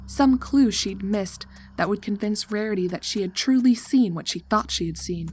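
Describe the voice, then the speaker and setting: A woman narrator reading a scripted horror story in a measured, dramatic way. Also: medium pitch, darkish tone, a little hiss or hum.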